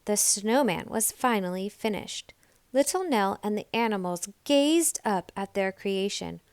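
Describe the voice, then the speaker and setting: A woman reading a children's story aloud as scripted narration, in a deliberate, expressive storytelling manner. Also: mid-range voice, smooth, no room sound. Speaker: storybook reader.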